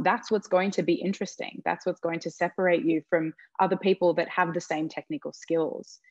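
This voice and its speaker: Low-voiced woman giving encouraging advice. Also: darkish tone, no echo. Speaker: panelist. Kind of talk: panel discussion over video call. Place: home room with plain walls. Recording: slightly dull video-call audio.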